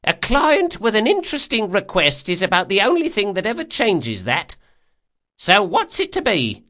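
A man voicing a brusque, sharp-tongued old woman, a master artificer in a fantasy audiobook. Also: sits high, wildly swooping pitch, moderate pace, pitched above the performer's own voice, heavily acted. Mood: brusque, impatient. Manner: brusque, exclamatory, scolding.

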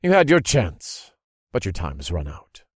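Man playing a low, cruel, gloating dark lord. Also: huge pitch swings, measured pace, breathy edge, no echo, clean studio sound, full character voice. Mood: gloating threat. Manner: menacing, taunting, contemptuous.

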